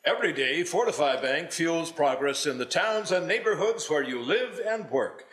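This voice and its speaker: A man's voice: a little high, animated, moderate pace, fairly bright tone, reverberant. A man reading a scripted advertisement as an announcer. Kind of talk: scripted ad read.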